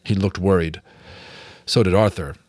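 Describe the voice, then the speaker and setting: A man's voice: deep voice, normal speed, a little mellow, dry recording, studio quality. A man with an American accent narrating a children's novel dramatically, with casual asides. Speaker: audiobook narrator, amateur voice actor.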